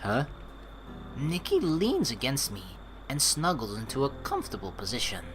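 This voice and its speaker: A man doing game commentary as a let's player, reading narration and lines aloud in an animated, rambling, informal way. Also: mid-range voice, moderate pace, noisy background.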